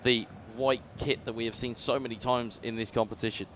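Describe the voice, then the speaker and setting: Man giving excitable football game commentary. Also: brisk, noisy background. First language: English. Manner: excitable, booming on big chances. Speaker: esports commentator. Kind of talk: play-by-play commentary.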